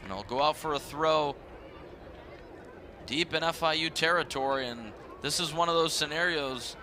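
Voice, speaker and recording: A man's voice: fairly high pitch, normal speed, sharp tone, some chatter behind. A man calling live sports play-by-play in a live commentary style. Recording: noisy live stadium broadcast. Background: stadium ambience.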